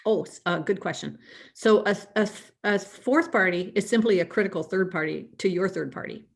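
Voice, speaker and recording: A woman's voice: medium pitch, moderate pace, creaky. A Canadian woman speaking as a guest expert, chatty and explaining in a casual conversational way. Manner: chatty, laughs easily, restarts often. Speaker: risk management guest.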